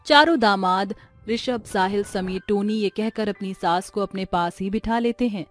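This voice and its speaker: A woman narrates a scripted family story. Her voice sits at a medium pitch, and music plays softly behind her. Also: moderate pace.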